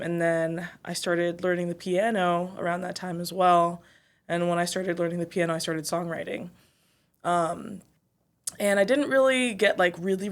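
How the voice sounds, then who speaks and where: A young woman from Zambia, an R&B singer, chatting as a guest in an interview, thoughtful and full of fillers. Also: on the low side. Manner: thoughtful, many fillers and "like", laughs often. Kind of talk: casual interview chat.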